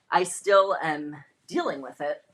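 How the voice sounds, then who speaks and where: Slightly low-voiced woman chatting through a try-on. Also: animated, drawn-out words, breathy edge. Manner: chatty, informal, emphatic and conversational. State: voice going from a lingering cold. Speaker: live shopping host. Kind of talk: casual chatty try-on haul.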